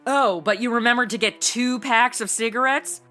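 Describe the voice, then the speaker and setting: A woman's voice: on the high side, moderate pace, pushed and bright, soft background music. A woman acting as a sassy, flippant modern young woman in a comedy audio drama, sarcastic and exclamatory. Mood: flippant, complaining.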